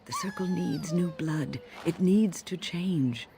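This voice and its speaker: An actress playing a dignified older woman mage in a game, grave and formal with a deliberate delivery. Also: on the low side, huge pitch swings, background noise, heavily acted. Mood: earnest. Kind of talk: dramatic game cutscene acting.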